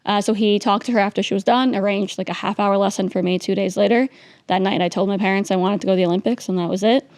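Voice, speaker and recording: Fast-talking young woman from New York. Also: mid-range voice, steady tone, a little mellow, dry recording. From New York City. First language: Russian. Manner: chatty, quick, peppered with "like" and "um". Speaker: guest. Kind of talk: casual interview storytelling.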